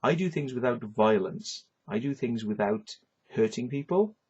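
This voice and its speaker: A man's voice: mid-range voice, lively intonation, moderate pace, a little mellow, husky, highs cut off. A man with a Welsh accent delivering a rambling, swearing rant, tagging lines with "yeah" and "right". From Wales. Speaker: vlogger. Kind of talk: ranting monologue to camera.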